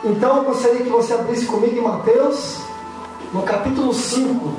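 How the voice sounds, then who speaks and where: A hoarse man with a Brazilian accent who has a cold, preaching to young people at church in a casual, slangy way. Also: very high voice, fairly quick, music in the background. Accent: Brazilian Portuguese. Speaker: youth preacher. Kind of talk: youth sermon. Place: church hall. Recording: echoey church from far off.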